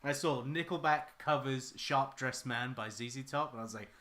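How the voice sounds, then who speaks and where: A man, chatting casually and joking on a live art stream. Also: normal speed. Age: 30s. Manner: animated, jokey, goes high and loud when quoting people. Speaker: artist and co-host.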